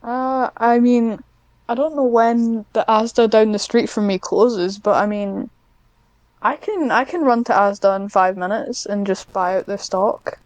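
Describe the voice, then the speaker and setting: A young British woman with a fairly high voice, recorded close and dry. She chats casually as a podcast guest, rambling through her stories in a giggly manner. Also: steady tone, unhurried, darkish tone, dry recording. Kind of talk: casual chat.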